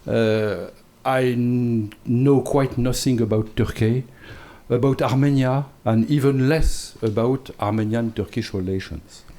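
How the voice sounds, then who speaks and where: A French-accented man speaks at an academic conference in unhurried English, pausing often and restarting his sentences. His voice is soft and mellow rather than bright, and he works through his points at a leisurely pace. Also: mid-range voice, slight background noise. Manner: careful, hesitant English with many fillers and restarts. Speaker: invited law professor speaker.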